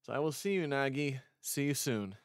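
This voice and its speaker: A man's voice: mid-range voice, animated, normal speed, clean tone, no echo, clean studio sound. A man casually reading a visual novel aloud as he streams it, rambling off into chatty asides. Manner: rambling, chatty asides between read lines. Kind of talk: casual game playthrough commentary with read-aloud dialogue.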